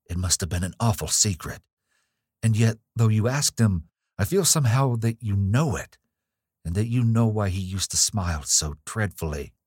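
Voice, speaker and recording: A man's voice: fairly low pitch, animated, moderate pace, darkish tone, husky, no echo, studio quality, pitched above the performer's own voice. A man voicing a frightened young noblewoman who pleads urgently and raises her voice in a gothic storybook reading. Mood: imploring. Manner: pleading, urgent, near screaming.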